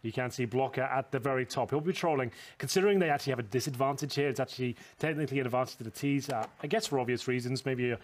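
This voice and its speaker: Guy doing esports analysis, talks super fast, jokey, explains the plays, game noise and clicks behind him. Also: mid-range voice. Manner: quick, joking, explains tactics. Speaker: esports caster. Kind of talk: analytical commentary with banter. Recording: noisy broadcast with game sounds.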